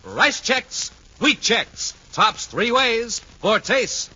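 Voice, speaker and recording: A man's voice: very high-pitched, very animated, very slow, bright, forceful tone, slightly rough. A man announcing and narrating in punchy, emphatic 1950s radio style, dramatic in his scripted delivery. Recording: muffled, slightly noisy 1950s radio recording.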